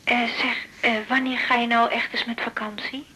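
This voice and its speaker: A woman's voice: fairly high pitch, level tone, normal speed, bright, forceful tone, slight background noise, low-quality recording. An actress playing a friendly, helpful female colleague in a naturalistic radio drama, chatting casually.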